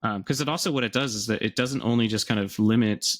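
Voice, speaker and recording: A man's voice: fairly low pitch, fast. A man co-hosting a podcast, explaining things in casual conversation. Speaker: podcast co-host.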